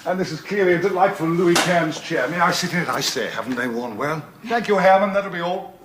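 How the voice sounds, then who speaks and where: Cheeky eccentric time traveller from old tv sci-fi, pitch bouncing all over, kinda playful and flippant. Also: male voice, expressive, brisk, fairly bright tone, slight background noise. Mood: breezy, cheeky. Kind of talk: 1970s television drama acting.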